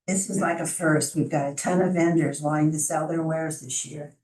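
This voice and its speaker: A soft-voiced woman presenting to a city council as a chamber of commerce director, friendly and informal, with small restarts. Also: low voice, steady tone, moderate pace. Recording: echoey council chamber from far off.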